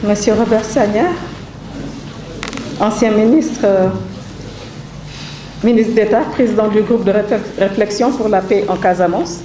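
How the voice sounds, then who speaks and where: A woman, slightly congested from a cold, giving a formal, measured thank-you speech as an author, with hesitant fillers. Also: medium pitch, steady tone. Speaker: author presenting her book. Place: event hall. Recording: roomy hall with background noise.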